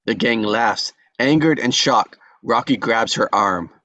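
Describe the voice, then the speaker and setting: A man's voice: mid-range voice, measured pace, sharp tone, no echo, muffled recording. A man reading stage directions as scripted narration at a table read.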